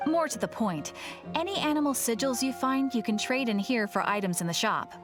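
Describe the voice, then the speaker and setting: Woman playing a high, lilting, cheerful game shopkeeper. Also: animated, a little fast, over music, natural voice. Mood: businesslike. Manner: welcoming, playful sales patter. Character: cheerful, sly female shopkeeper of rare curios. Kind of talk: video game character acting.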